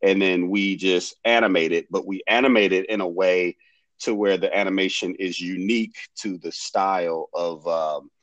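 A man's voice: sits low, steady tone, moderate pace, fairly bright tone, dry recording. A man in his forties from Detroit, a guest on a remote interview, explaining his work in a rambling way full of ums and restarts. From Detroit, USA. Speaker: guest, comic creator. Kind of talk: casual interview chat. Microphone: headphones, remote call.

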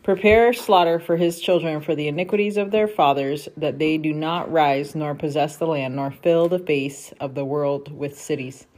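A woman reads scripture aloud for her family in a low-pitched voice. She keeps a steady, careful read-aloud pace and stops briefly to correct a word. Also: moderate pace, few pauses, low-quality recording. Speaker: family member reading scripture.

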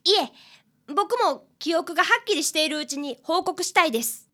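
Woman voicing bright, high-pitched earnest schoolboy detective. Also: expressive, normal speed, exaggerated voice. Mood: eager, dutiful. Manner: polite, formal reporting. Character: earnest fifth-grade boy, apprentice detective. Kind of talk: radio drama acting.

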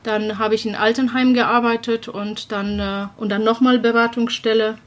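A Polish-accented woman of about sixty chats as a guest, often pausing to search for words. She cannot pronounce the R and trills her lips instead. Her voice sits a little higher than most women's. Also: flat delivery. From Poland. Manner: hesitant, searching for words, many fillers. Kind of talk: casual conversation.

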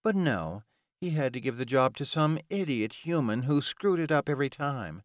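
A man's voice: on the high side, very animated, a little slow, dry recording, clean studio sound, pitched above the performer's own voice. A man voicing a scornful, taunting female vampire in an audiobook, with a bitter, insulting manner. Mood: ranting.

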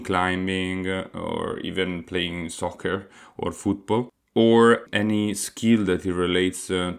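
A man from Italy with an Italian accent, hosting a solo podcast and explaining his plans earnestly, with many hesitant fillers. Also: deep voice. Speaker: podcast host.

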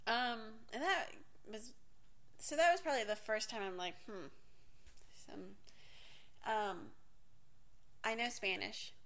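A woman's voice: on the high side, slow pace, pauses often, fairly bright tone. A woman in her forties from Miami telling a candid personal story, rambling and self-interrupting, swearing casually and laughing often. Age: 40s.

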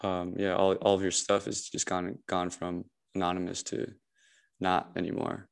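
A man's voice: low voice, monotone, moderate pace, gravelly, smooth. A young man hosting a podcast, talking casually and hesitantly with many fillers. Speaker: podcast host. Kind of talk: casual conversation.

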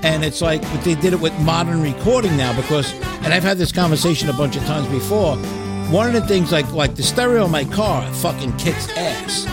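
A man's voice: on the high side, expressive, brisk. A man hosting a podcast, chatting casually about rock music in an enthusiastic, slangy way with plenty of swearing. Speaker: podcast host.

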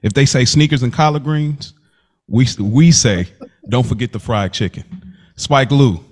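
A man's voice: mid-range voice, a little slow. A man hosting a podcast in a casual, easygoing, slangy chat style. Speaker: podcast host.

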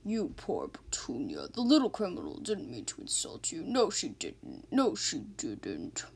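A woman's voice: fairly low pitch, huge pitch swings, measured pace, creaky, slightly rough, whispered, heavily acted. A woman playing a folksy, doting police officer in an amateur radio drama. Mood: doting, cooing.

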